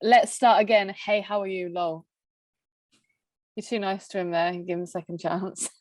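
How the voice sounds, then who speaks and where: A woman co-host with Greek as her first language, from a half Greek and half English background, talks bluntly and laughs often. Her voice sits at an ordinary pitch and sounds close and dry, without any room around it. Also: fairly even intonation, normal speed, darkish tone, no room sound. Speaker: podcast co-host. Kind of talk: casual chat reading out messages.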